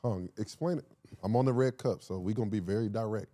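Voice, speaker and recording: A man co-hosting a podcast, teasing the others in quick casual banter. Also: on the low side, dark tone. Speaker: podcast co-host. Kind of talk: casual chat.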